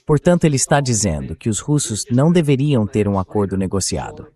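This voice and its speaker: A man's AI-dubbed voice hosting a political interview, explaining points in a measured way and putting questions to a guest. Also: fairly low pitch, big pitch swings, dark tone. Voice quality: AI-generated dubbed voice. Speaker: host interviewer. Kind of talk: political interview with read-out quotes.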